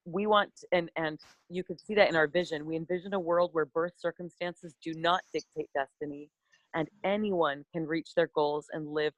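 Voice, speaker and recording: A woman's voice: deep voice, dry recording, poor audio. Earnest woman presenting on a call. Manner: earnest, many fillers and restarts. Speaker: foundation director.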